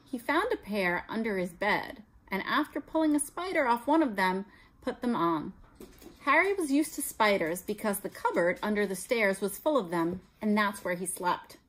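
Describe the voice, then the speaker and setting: A woman's voice: medium pitch, lively intonation, moderate pace, poor audio. A middle-aged American woman, a teacher reading a children's story aloud expressively. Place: small room at home, indoors.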